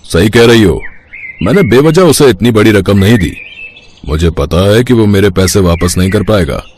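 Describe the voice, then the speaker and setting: A man's voice: lowish voice, animated, moderate pace, a little mellow, a little hiss or hum, close to an everyday voice. A man voicing a greedy, bullying village headman in a moral story cartoon, with a domineering, sly manner. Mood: sly, scheming. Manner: domineering, demanding.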